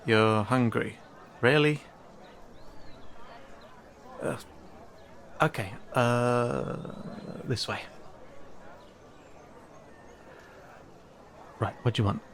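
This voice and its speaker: A man acting a gravelly, wary cat-person guide. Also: mid-range voice, takes it slowly, long pauses, fairly bright tone. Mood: casual, awkward. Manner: hesitant, casual, conversational asides. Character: wary young male cat-person guide in a fantasy town. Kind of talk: fantasy audio roleplay drama, speaking to the listener.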